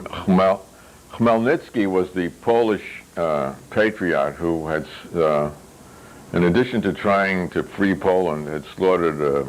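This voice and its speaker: A man reading a novel aloud as its author, with wry, deliberate phrasing and hesitant asides. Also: a bass voice, a little hiss or hum, rough recording. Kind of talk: literary reading with spoken asides.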